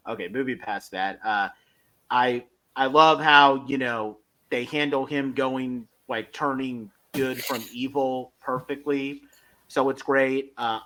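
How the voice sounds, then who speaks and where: A man co-hosting a casual fan review podcast, talking in a rambling, halting and enthusiastic way. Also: medium pitch, sharp tone, rough recording. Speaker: podcast co-host.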